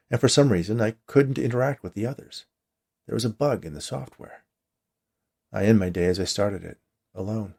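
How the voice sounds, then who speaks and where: A man narrates a first-person short story in a low voice with plenty of creak. The delivery is scripted storytelling, read by the author himself. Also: mellow.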